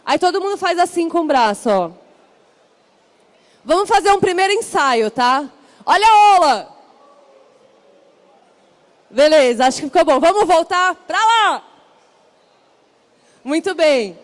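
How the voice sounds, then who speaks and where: A woman with a São Paulo accent hosts a panel on stage, her voice sitting high. She talks in an upbeat, chatty way and keeps the audience involved. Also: expressive, measured pace, long pauses. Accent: São Paulo Portuguese. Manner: upbeat, chatty, rallies the crowd. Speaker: event host. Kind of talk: live stage hosting. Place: stage at a large event.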